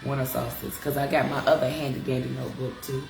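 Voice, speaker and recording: Congested, gravelly woman teaching astrology. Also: very deep voice, little pitch movement, quick pace, echoey room, music in the background. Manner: chatty, frank, curses freely, addresses viewers as y'all. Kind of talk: casual live-stream astrology talk. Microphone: live-stream device mic.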